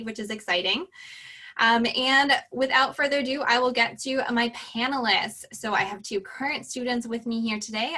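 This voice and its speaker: Bright, crisp-voiced woman hosting. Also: mid-range voice. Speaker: panel moderator and host. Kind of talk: welcoming and moderating an online student panel.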